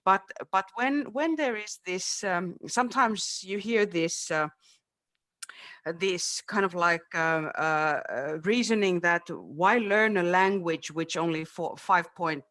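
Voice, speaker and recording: A man's voice: high voice, slow, bright, forceful tone. A man whose first language is Finnish, speaking as a city education official in a webinar discussion, hesitant, with many fillers and restarts. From Finland. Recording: video call.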